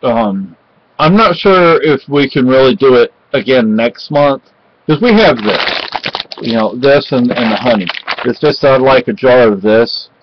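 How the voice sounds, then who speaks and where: A man's voice: mid-range voice, lively intonation, a little hiss or hum. Rambling middle-aged man on a dull webcam. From Spain. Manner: rambling, halting, many fillers and restarts. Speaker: vlogger. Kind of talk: casual home vlog chat. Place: cluttered home room with bookshelves. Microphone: webcam at close range.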